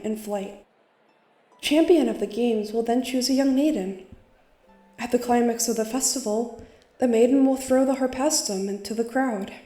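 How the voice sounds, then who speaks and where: Woman as a playful, mellow-voiced boy bard. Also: high voice. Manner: theatrical, lilting rhymed speech. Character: cheeky young male traveling bard, playful and rhyming. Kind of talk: manga dub acting.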